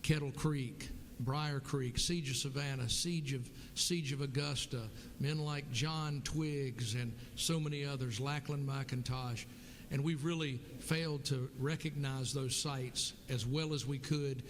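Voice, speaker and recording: Man, legislator asking a floor question, earnest, mid pitch, long reverb. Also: steady tone, a little slow, a little hiss or hum. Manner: earnest, appreciative, lists points.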